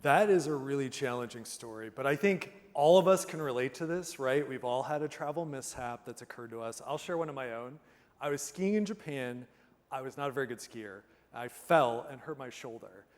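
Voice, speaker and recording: A man's voice: mid-range voice, normal speed. A man moderating an onstage interview with upbeat, conversational questions. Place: conference stage. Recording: reverberant conference hall.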